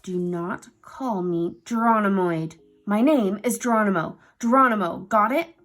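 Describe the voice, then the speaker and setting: A woman voicing a fussy, easily flustered male mouse who gets indignant and shouts when upset. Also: medium pitch, moderate pace, vocal fry, slightly rough. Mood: outraged.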